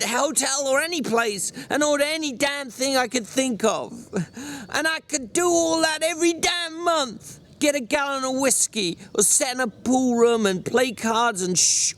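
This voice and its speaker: A man's voice: very high-pitched, a bit bright, voice pushed up. A man voicing an exasperated, ranting ranch drifter with a secretly caring streak in a dramatic novel reading.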